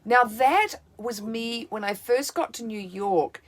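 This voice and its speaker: A woman's voice: on the high side, slow pace. Laughing New Zealand woman. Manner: animated, laughing storyteller. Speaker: co-host, former actress. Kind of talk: casual chat.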